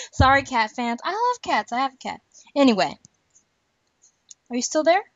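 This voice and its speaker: A woman's voice: high voice, sing-song, moderate pace, fairly bright tone, no echo. A woman hosting a casual podcast discussion, chatty and giggly as she rambles through her opinions. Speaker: podcast host. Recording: dull lo-fi podcast audio.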